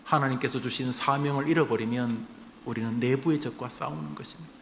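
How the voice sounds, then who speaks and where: A man's voice: medium pitch, brisk, a little hiss or hum. A man, a pastor, preaching a sermon in a humorous, conversational way, acting out bits of dialogue. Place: church sanctuary. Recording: echoey church hall, faint ambience.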